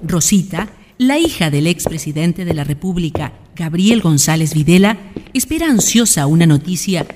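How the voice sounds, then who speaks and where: A woman narrating a historical radio drama in a measured, literary, solemn manner. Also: lowish voice, expressive, a little mellow. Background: background music.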